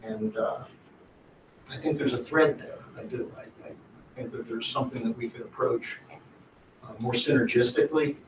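A man in his sixties speaks earnestly, wandering and restarting his sentences. The sound is roomy and far from the mic, with clear background noise. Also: medium pitch, very animated, normal speed, long pauses. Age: 60s. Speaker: board applicant. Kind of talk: public comment at a meeting. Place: school board meeting room. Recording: echoey room from far off, noisy.

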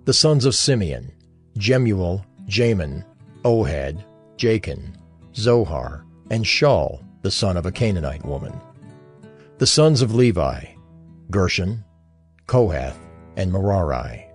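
Low, slow male Bible narrator. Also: very animated, faint music behind. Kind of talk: dramatized scripture reading.

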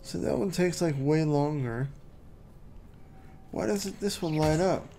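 A man's voice: a little high, slow pace, vocal fry, warm tone, a little hiss or hum. A young man giving casual, puzzled gameplay commentary, muttering to himself and poking fun at himself. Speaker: gameplay streamer.

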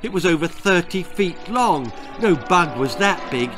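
An older man tells a spooky, funny children's tale. He is deliberate and theatrical, taking his time to build suspense, with music clearly playing behind him. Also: high-pitched, animated. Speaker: author reading his own story. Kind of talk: dramatic children's horror-comedy storytelling. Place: book-lined room indoors.

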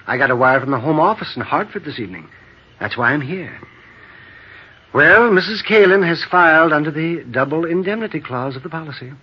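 Husky, flustered insurance man. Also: fairly high pitch, lively intonation, fairly quick. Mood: flustered, uneasy. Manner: hesitant, stammering, flustered. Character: nervous, hesitant, fussy male insurance man. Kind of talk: 1950s radio drama acting. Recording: muffled old radio recording.

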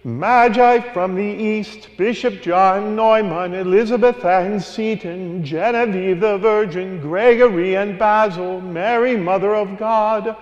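A male priest giving a homily, singing a long list of saints and then explaining it in a relaxed, conversational way. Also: high voice, takes it slowly, hardly any pauses, long reverb tail, lo-fi sound. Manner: sings a long cumulative list, then talks plainly and conversationally. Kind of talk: homily with a sung carol.

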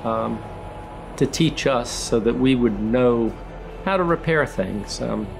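An older man, a retired engineer, reflecting slowly on his woodworking in a documentary interview, with many pauses and restarts. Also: medium pitch, expressive, vocal fry, over music. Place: woodworking shop in a farm building.

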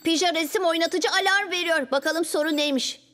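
Watchful six-year-old cartoon hero, sensible, extremely high register. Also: female voice, fairly quick, a bit bright, faint music behind. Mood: alert, curious. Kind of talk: animated children's cartoon dubbing.

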